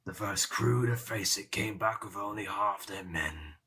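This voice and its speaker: Aging pirate captain: very low voice with a bright, forceful edge, grave theatrical storyteller, boastful, archaic seafaring talk. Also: male voice, unhurried, slightly rough, heavily acted. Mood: ominous. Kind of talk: audio drama storytelling.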